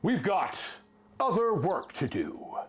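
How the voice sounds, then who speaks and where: A man voicing a weary, grim duck farmer with terse, gruff lines in a pulp story reading. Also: high voice, wildly swooping pitch, slow, pitched above the performer's own voice, full character voice. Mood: grim, curt.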